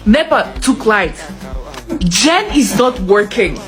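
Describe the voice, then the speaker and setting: A woman vlogging in an exasperated, drawn-out, whiny way. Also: mid-range voice, sing-song, fairly bright tone, soft background music. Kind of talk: complaining vlog.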